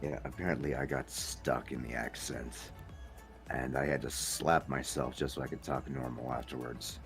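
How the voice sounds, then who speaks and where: A man's voice: extremely low pitch, level tone, normal speed, fairly bright tone, soft background music. A man with a Scottish accent hosting a casual chat podcast, who slips into accents as he talks. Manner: slips into accents while talking. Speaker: podcast host.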